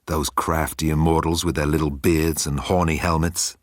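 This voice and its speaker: A man's voice: a bass voice, little pitch movement, normal speed, no room sound, studio quality. A man voicing a flippant, self-absorbed galactic president with a breezy, chatty manner in an audiobook.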